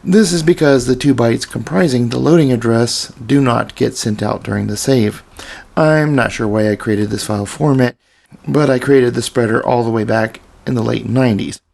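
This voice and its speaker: A man with a slightly raspy voice narrates a programming tutorial over a screen recording. He talks in a relaxed, explanatory way with casual asides, at a medium pitch, and his voice often drops into a gravelly fry. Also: normal speed. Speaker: software tutorial presenter.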